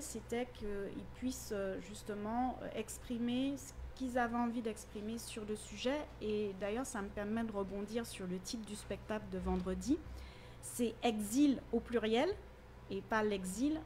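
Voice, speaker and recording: A woman teacher giving measured, articulate explanations in a radio interview. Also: mid-range voice, darkish tone, slight background noise. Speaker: university teacher.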